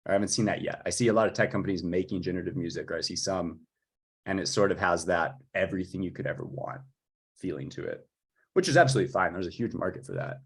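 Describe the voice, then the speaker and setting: A man talking informally about his work as a music composer, with a husky voice. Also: sits low, quick pace. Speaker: music composer for audio fiction.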